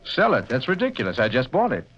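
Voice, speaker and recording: An actor playing a brisk, stubborn, excitable man buying a house in a 1940s radio drama. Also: medium pitch. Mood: indignant. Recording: noisy, dull old radio recording.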